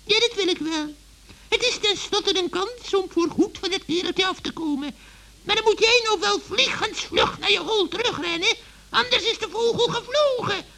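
Shrill, creaky old witch. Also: normal speed, pushed and bright, heavily acted, low-quality recording. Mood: sly, gleeful. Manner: bossy, impatient, emphatic. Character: scheming, bossy old witch woman. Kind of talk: children's radio play acting.

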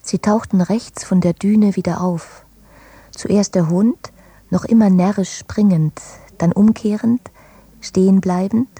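A woman reading literary prose for a radio play in a measured way, phrase by phrase. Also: medium pitch, dark tone, breathy edge, dry recording. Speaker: reader.

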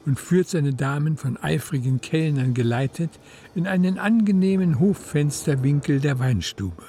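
Mellow, gravelly male narrator, higher voice. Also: expressive, moderate pace. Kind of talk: scripted literary narration for a radio play.

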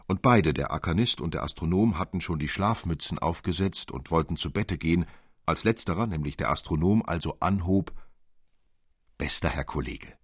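A man narrating a literary fairy tale as a measured, expressive storyteller. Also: a bass voice, husky, no echo, studio quality.

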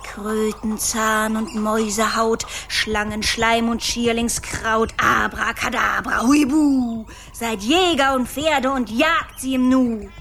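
A woman's voice: on the high side, steady tone, creaky, fairly bright tone, slight background noise, full character voice. A woman playing a theatrical, scheming, wicked witch in a German radio play. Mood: scheming, gleeful. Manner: drawn-out, theatrical.

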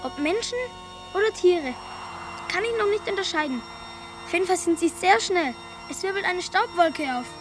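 A woman playing an excited boy adventurer on lookout, earnest and dramatic, in a radio play. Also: extremely high pitch, moderate pace, pauses often, poor audio. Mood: watchful. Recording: music behind the voice.

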